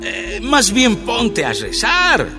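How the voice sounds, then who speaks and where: A bullying army sergeant. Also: male voice, very high-pitched, huge pitch swings, slow pace, over music, heavily acted. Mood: scolding. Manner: stammering, then scolding. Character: nervous male army sergeant, bullying prisoner. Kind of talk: radio drama acting.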